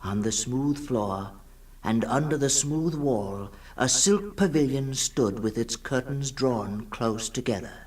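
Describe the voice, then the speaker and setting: A man giving a solemn, dramatic literary reading in the style of 1960s radio, swinging between hushed and ringing tones. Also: medium pitch, expressive, takes it slowly. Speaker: storyteller, reader. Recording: 1960s radio production with music behind. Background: music.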